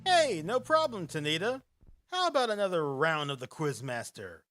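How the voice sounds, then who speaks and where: A man hosting a casual live podcast, chatting and reading quiz questions in a rambling way and laughing often. He has a booming radio-style voice. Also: big pitch swings, moderate pace, music in the background. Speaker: podcast host.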